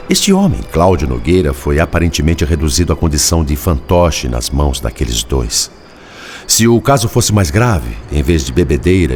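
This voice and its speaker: A man's voice: very deep voice, a little mellow. A man playing a puzzled spirit companion, earnest, formal and questioning in an audiobook drama.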